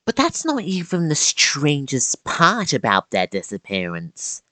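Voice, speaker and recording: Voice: mid-range voice, huge pitch swings, a little slow, sharp tone, dry recording. A YouTuber narrating a scripted video essay with casual asides and dramatic emphasis on key words.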